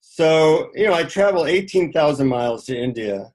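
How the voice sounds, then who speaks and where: A man's voice: on the high side, expressive, moderate pace, a little mellow, poor audio. Middle-aged man telling personal stories. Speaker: interview guest, author. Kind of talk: conversational interview, personal anecdotes.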